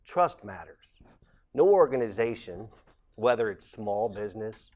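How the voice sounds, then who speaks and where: A man, giving a measured, earnest campaign statement as a candidate for council president. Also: lowish voice, animated, darkish tone. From Ohio, USA. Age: late 40s.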